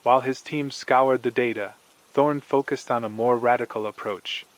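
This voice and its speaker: Measured male horror story narrator. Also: medium pitch, steady tone, low-quality recording.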